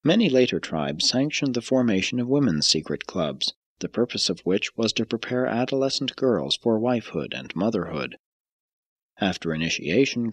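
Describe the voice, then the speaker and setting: A man narrating an audiobook from a script in a measured, formal way, with deliberate emphasis. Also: on the low side, breathy edge, dry recording.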